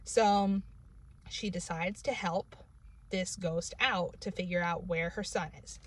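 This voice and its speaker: A woman's voice: fairly low pitch, steady tone, a little slow. Rambling New England woman in a car. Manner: rambling, filler-heavy, many "like" and "um". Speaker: book reviewer. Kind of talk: casual book review vlog. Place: inside a parked car. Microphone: camera in the car.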